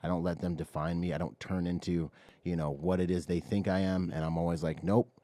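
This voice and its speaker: Very deep-voiced American man. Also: little pitch movement, brisk, mellow, no echo. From Bahamas and Washington State, US. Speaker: podcast guest. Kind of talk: casual conversational storytelling.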